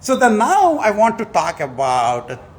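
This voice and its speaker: A man's voice: sits high, wildly swooping pitch, measured pace. A man from India, a professor giving a conference award lecture with joking asides. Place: conference hall before an audience.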